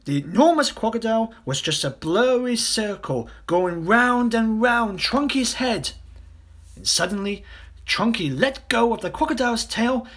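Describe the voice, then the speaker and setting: Children's audiobook reader: man, slow and expressive, swooping intonation, theatrical. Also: extremely high pitch. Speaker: audiobook narrator. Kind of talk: dramatic children's story narration.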